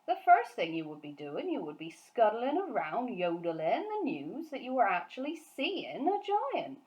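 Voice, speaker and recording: A woman voicing a big friendly giant from a storybook, excitable and kindly, speaking emphatically in garbled grammar and nonsense words. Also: on the high side, moderate pace, muffled recording, voice pushed up. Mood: agitated, warning.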